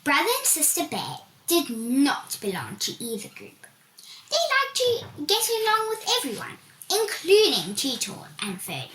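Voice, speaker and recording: A woman reading a children's storybook aloud in a deliberate, expressive storytelling manner, with emphatic swoops. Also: high voice. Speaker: volunteer story reader. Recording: echoey room with background hiss.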